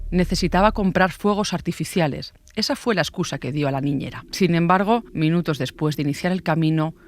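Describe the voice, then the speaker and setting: A woman hosting a true-crime podcast, narrating a case as a journalist with personal asides. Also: on the low side.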